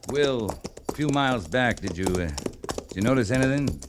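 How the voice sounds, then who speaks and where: Guy acting a calm commanding army captain, old western radio show, midrange male voice, bit of noise. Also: medium pitch, natural voice. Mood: measured. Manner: measured, commanding. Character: steady, decisive US Army cavalry captain in the West. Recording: noisy old radio recording.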